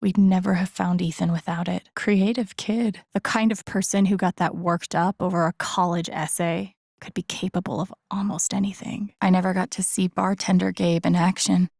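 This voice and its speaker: Young woman game heroine, husky breathy inner thoughts, kinda dry humor, very dry close sound. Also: on the low side, steady tone, normal speed, hardly any pauses, a little mellow, no room sound. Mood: wry, musing. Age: young adult. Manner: murmured, breathy thinking aloud, often near a whisper. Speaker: video game voice actress. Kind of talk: soft inner-monologue game voice acting.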